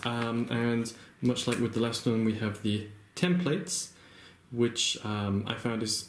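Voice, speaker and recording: A man's voice: mid-range voice, roomy sound, a little hiss or hum. A man casually narrating a felt craft project at home, chatty and hesitant with many ums and uhs. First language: not Japanese. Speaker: crafting vlogger. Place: home room, working at a table.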